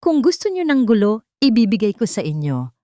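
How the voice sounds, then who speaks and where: A woman's voice: a little high, big pitch swings, brisk, mellow, dry recording, clean studio sound, pitched above the performer's own voice. A woman voicing a wealthy young father who speaks coldly and firmly to protect his family. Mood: threatening. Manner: cold, firm, threatening.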